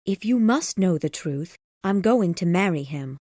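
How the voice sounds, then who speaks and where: A man voicing a cold, proud young woman in a storybook reading, speaking calmly and without feeling. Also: sits high, measured pace, no room sound, clean studio sound, voice pushed up.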